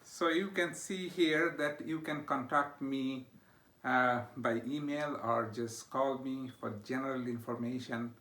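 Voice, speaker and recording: A man's voice: medium pitch, unhurried, a bit bright, slight background noise. A middle-aged man with a Bangladeshi accent, a university instructor introducing his course from a home study, with frequent "uh" fillers and a checking "okay?". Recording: echoey room.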